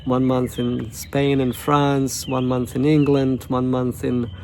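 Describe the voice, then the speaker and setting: Soft-voiced man with a tongue. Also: medium pitch, flat delivery, a little hiss or hum. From Russia, Novosibirsk. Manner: halting, many fillers and restarts. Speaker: coach. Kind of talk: casual self-introduction monologue.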